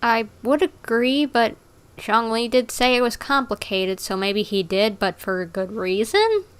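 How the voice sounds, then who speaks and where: A woman's voice: on the high side, lively intonation, measured pace, smooth. A woman streamer giving casual, chatty live commentary on a game, with game ambience and some noise behind her. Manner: chatty, reacting aloud to the game.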